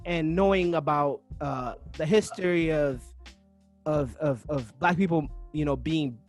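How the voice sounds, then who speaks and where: A man's voice: fairly high pitch, a little slow, soft background music. A man from Uganda hosting a podcast interview, thoughtful and searching for his words, often restarting his sentences. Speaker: podcast host. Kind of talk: casual interview chat.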